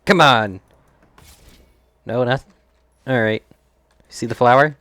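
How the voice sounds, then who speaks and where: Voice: mid-range voice, slow, a bit bright, no echo. A game streamer giving casual, chatty playthrough commentary and reacting aloud to the game.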